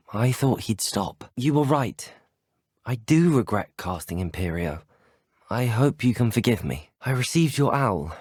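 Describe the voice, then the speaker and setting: Polite, expressive British schoolboy hero. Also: male voice, medium pitch, breathy edge, no room sound, clean studio sound. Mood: regretful, then concerned. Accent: British English. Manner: polite, articulate, well-spoken. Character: earnest, polite teenage boy student at a wizarding school. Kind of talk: video game dialogue acting.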